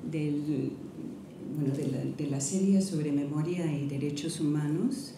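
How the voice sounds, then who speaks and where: A middle-aged woman, not a native speaker, giving a careful, halting academic lecture at a podium, partly read aloud, with many restarts. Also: deep voice, dark tone, a little hiss or hum. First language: not Spanish. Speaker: guest lecturer. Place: university lecture hall, at a podium. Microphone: podium microphone. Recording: echoey hall, mic from a distance.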